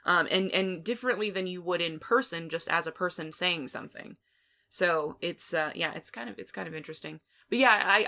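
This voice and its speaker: Woman podcast cohost, lowish bright voice, casual movie chat, keeps rewording herself as she goes. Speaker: co-host of a horror film review podcast. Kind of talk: casual film discussion.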